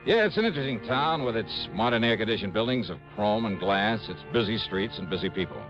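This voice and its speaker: A man playing a wry, animated radio detective. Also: on the low side, fairly quick, lightly acted. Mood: brisk narration. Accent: American. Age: 30s. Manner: clipped, wry, hardboiled. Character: brisk, wry thirty-year-old male freelance insurance investigator. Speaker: lead actor in a radio detective drama. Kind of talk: 1950s radio acting, hardboiled first-person narration. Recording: dull old radio recording, music behind.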